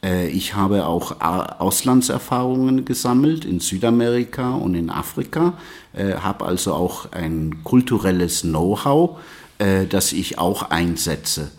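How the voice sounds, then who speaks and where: A man from Peru whose first languages are English and Spanish introduces himself as an integration guide, slowly and with frequent hesitations. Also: sits low, expressive. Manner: slow, measured, with frequent hesitations. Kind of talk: personal self-introduction.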